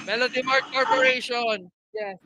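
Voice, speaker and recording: A Filipino man co-hosting a live show with excited banter. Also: extremely high pitch, measured pace, very noisy. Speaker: game show co-host. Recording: very loud music under the voice. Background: very loud music.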